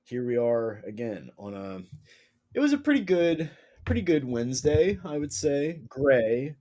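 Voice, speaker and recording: A man's voice: medium pitch, big pitch swings, measured pace, dark tone. A man co-hosting a podcast, chatting in an easygoing, dryly joking way. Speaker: podcast co-host. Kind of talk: casual chat.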